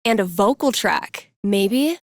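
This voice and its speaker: An actress playing a wry, nerdy young record-store clerk and radio DJ in a video game, muttering to herself in a dry, sardonic, conversational way. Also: female voice, medium pitch, lively intonation, takes it slowly, dry recording. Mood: upbeat, musing. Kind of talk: naturalistic video game voice acting.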